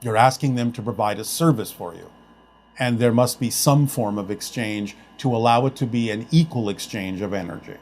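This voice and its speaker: A man speaking as a channeled spirit guide, answering audience questions in a measured, formal manner and calling himself "we". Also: on the low side, a little mellow. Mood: explaining. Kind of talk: spoken Q&A session.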